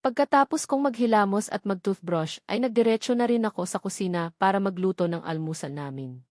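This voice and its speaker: A woman's voice: medium pitch, a little mellow, no room sound, studio quality. A woman with a Filipino accent reading a scripted first-person romance story as an audiobook narrator.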